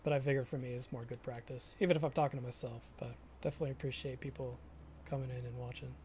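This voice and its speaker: A young man chatting casually with viewers during a live stream of a farming game, often pausing and restarting his sentences. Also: mid-range voice, steady tone, a little mellow, slight background noise. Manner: halting, self-correcting, chatty with viewers.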